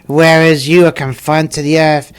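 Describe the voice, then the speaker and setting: A man voicing a modest, dignified female crane in a storybook fable, answering in a measured, proud way. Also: a little high, level tone, smooth, lightly acted. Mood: proud retort.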